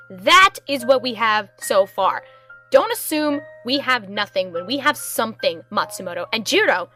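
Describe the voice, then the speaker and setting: Woman acting bright, firm female investigator. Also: on the high side. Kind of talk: amateur audio drama acting.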